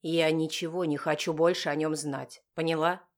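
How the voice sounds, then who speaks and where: A woman's voice: deep voice, flat delivery, normal speed, lightly acted. A woman voicing a stern, wounded father, curt and firm, in an audiobook drama. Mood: stern, bitter. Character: stern, wounded, authoritative father of a teenage girl.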